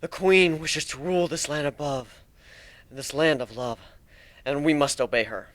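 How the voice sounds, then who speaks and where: Man voicing a defiant captive insectoid drone, raised pitch. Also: normal speed, a bit bright, no echo, lightly acted. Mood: resigned, explaining. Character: captive female insectoid changeling drone, frightened but defiant.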